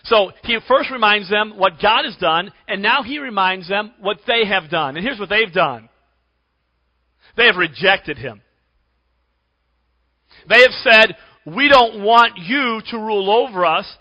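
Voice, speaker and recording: Man, high voice, hoarse, church preaching, long church reverb, muffled recording. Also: animated, measured pace, long pauses. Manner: clears throat often, emphatic preaching. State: losing his voice, hoarse. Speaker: pastor. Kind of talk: sermon. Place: church sanctuary.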